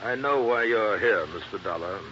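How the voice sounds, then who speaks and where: An actor playing a cold, self-assured plantation owner in an old radio drama, clipped and businesslike. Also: male voice, on the low side, expressive, normal speed, pushed and bright. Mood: cool, defensive. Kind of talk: 1950s radio drama acting. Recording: dull old radio recording, faint hum.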